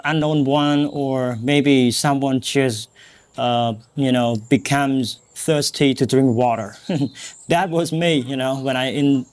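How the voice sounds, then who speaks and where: Earnest Cambodian-accented man. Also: mid-range voice. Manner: earnest, encouraging, repeats phrases for emphasis. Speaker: English teacher and podcast host. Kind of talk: motivational talk to learners.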